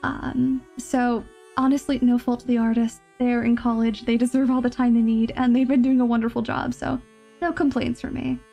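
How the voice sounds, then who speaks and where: A woman's voice: on the high side, little pitch movement, normal speed, creaky, dark tone, soft background music. A woman with a nasal, slightly stuffed-up voice from a cold, giving listeners a casual update as a channel host. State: mildly sick with a cold.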